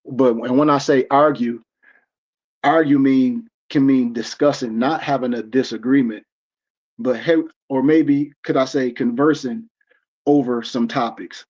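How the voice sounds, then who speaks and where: A man's voice: medium pitch, steady tone, unhurried, a little mellow. Emphatic American man in his forties, lo-fi. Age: 40s. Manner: preachy and emphatic, many restarts. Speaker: discussion host. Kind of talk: livestream discussion. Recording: dull lo-fi stream audio.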